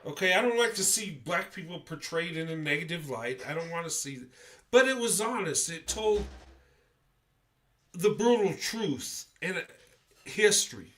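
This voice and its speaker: Stuffy-nosed, high-voiced man rambling. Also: expressive, measured pace, fairly bright tone. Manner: rambling, hesitant, many fillers and restarts. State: congested, stuffy nose. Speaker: livestream host. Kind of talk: casual livestream commentary.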